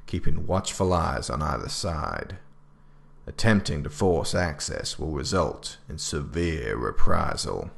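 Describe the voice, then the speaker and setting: Very deep, gravelly, stern government official. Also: male voice, heavily acted. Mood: resolute, stern. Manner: formal, measured, oratorical. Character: grave, authoritative male director writing a 19th-century government handbook. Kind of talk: scripted dramatic narration.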